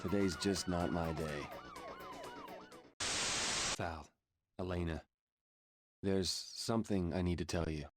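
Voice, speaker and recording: An actor playing a gruff, weary, middle-aged surgeon with a dry, grumbling manner in an animated game drama. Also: male voice, low-pitched, slow pace, pauses often. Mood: somber, confessing. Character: gruff, weary, guilt-ridden cynical male surgeon.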